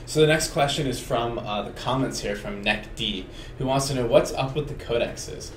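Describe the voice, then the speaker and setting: A man's voice: mid-range voice, moderate pace, reverberant, a little hiss or hum. A man hosting a game developer stream, reading out viewer questions and speaking straight to the audience in an upbeat way. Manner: upbeat, addresses viewers directly. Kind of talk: casual dev stream hosting.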